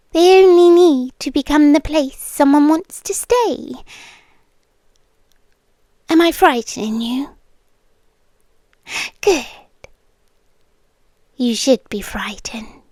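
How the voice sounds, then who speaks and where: A British woman playing an ancient, possessive, teasing predator fae in an ASMR roleplay, speaking softly and deliberately, often near a whisper. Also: sits high, no room sound, studio quality. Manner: slow, hushed, deliberate, often dropping into whispers. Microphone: close microphone, dry.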